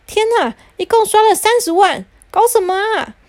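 A woman's voice: high-pitched, animated, quick pace, darkish tone. A woman voicing an exasperated middle-aged father who scolds his family and stammers as he rants. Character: angry middle-aged father back from abroad.

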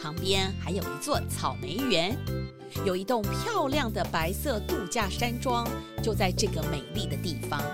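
A woman with a Taiwanese Mandarin accent narrating a scripted children's story in an expressive storytelling manner. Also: mid-range voice, normal speed, loud music behind the voice.

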